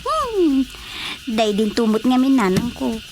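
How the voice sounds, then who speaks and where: Whiny excitable ilocano kid for radio comedy, high bouncy voice, noisy ambience. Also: female voice, takes it slowly. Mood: excited.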